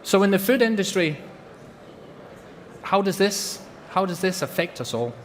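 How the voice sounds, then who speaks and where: Scottish guy presenting on stage, voice a bit high, long hall echo and faint crowd ambience. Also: sing-song, unhurried, slight background noise. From Scotland. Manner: earnest, repeats for emphasis. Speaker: company presenter. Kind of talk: trade fair presentation. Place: large exhibition hall.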